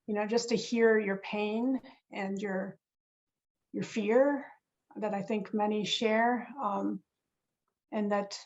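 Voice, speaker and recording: A woman's voice: mid-range voice, steady tone, slow, pauses often, darkish tone, lo-fi sound. A woman moderating a panel discussion, earnest and reflective, often restarting her phrases. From Korea. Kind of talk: webinar moderation. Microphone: video call.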